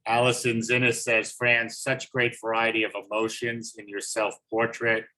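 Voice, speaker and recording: A man hosting an online artist event on a video call, reading out chat comments in a steady, level way. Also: mid-range voice, unhurried, low-quality recording. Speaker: host.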